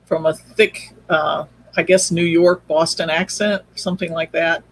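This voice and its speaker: A man's voice: on the high side, little pitch movement, unhurried, a little hiss or hum, poor audio. A man speaking as an interview guest, explaining things in a casual, rambling way. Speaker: podcast guest, audio drama creator.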